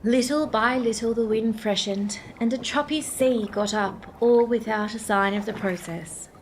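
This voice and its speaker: A woman narrating and playing a radio reporter, clear and announcing. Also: fairly high pitch, a little slow.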